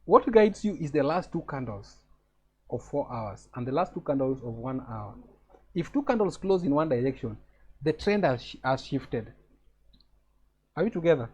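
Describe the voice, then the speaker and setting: A man's voice: medium pitch, sing-song, normal speed, long pauses, a little mellow, slightly rough, dull audio. A man with a Kenyan accent teaching a live trading lesson over a video call, explaining step by step and checking that his students follow.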